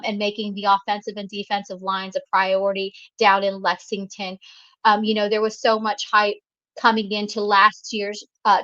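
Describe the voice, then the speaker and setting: Woman co-host talking football, pretty flat delivery, dry dull lo-fi sound. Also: mid-range voice, dry recording. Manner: conversational, many fillers and restarts. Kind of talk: sports talk commentary.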